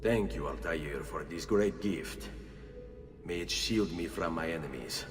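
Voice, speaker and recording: An actor playing a resolute middle-aged Italian assassin in a video game, earnest and measured, with an Italian accent. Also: male voice, low voice, over music. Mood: quiet, resolved. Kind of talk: dramatic video game cutscene acting.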